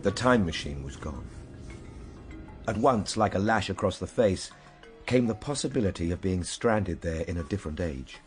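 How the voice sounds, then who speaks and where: An actor playing a reflective gentleman traveller, narrating his journey in a measured, literary, solemn manner for a radio drama. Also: deep voice, lively intonation, soft background music. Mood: grave, uneasy.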